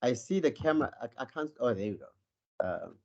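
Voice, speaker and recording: A South African man speaks over a video call, his voice slightly muffled and dulled by the line. He talks at a middle pitch, pausing on ums and correcting himself as he presents. He is a life coach introducing his work. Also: lively intonation, gravelly. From Cape Town, South Africa. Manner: friendly, frequent ums and self-corrections. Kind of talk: online presentation.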